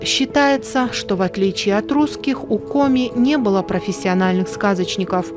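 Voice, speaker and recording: A woman's voice: mid-range voice, moderate pace, music in the background. A woman reading scripted documentary narration as the narrator.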